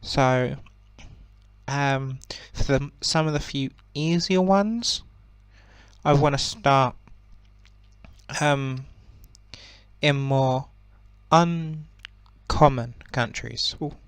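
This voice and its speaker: A young man with a croaky voice giving relaxed, rambling commentary on a strategy game, with many ums and self-corrections. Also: mid-range voice, drawn-out words, long pauses, no room sound. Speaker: gaming channel host.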